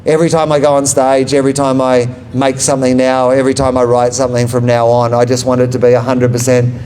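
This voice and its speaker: A man's voice: medium pitch, monotone, fast talker, a little mellow, a little hiss or hum. A man, a comedian and author talking about his book at a live bookshop event, rambling and self-deprecating. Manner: rambling, self-deprecating and jokey, laughs at own lines. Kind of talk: live book talk with audience questions. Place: bookshop event before an audience. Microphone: handheld microphone.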